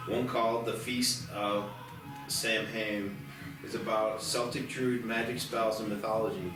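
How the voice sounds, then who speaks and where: A man's voice: lowish voice, steady tone, a little slow. A man narrating a screenplay, reading out the stage directions at a table read. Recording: distant echoey room, clear music behind.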